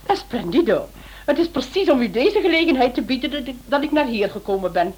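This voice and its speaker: An actress playing a charming, insistent Spanish club hostess in a radio play, effusive and persuasive, with a husky voice. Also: female voice, high voice, big pitch swings, a little fast, a little mellow, heavily acted. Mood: gracious.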